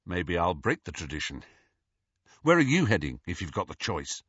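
Wry storybook schoolboy, man performing: cool, confident, husky, close and dry. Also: low-pitched, very animated, moderate pace, fairly bright tone, no echo, highs cut off, heavily acted.

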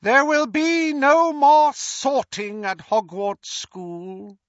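A man voicing a cruel dark lord with a soft, hissing, silky voice, drawling his words slowly and mockingly. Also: extremely high pitch, dry recording, dull audio, voice pushed up, exaggerated voice. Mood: cold, quiet. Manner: slow, drawn-out, mocking.

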